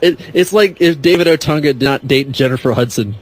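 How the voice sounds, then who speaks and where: A man's voice: a little high, fairly quick, background noise. A man on a podcast panel, bantering casually and joking around.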